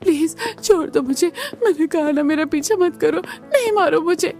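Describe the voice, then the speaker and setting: A woman's voice: extremely high pitch, normal speed, darkish tone. A woman voicing a timid, long-suffering young daughter-in-law who turns defiant, with emphatic delivery in a cartoon family melodrama. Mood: terrified, pleading.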